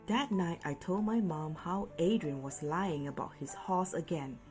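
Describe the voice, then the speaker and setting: A woman reading a children's picture book aloud in an expressive storytelling way. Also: lowish voice. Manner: deliberate, animated storytelling. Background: music.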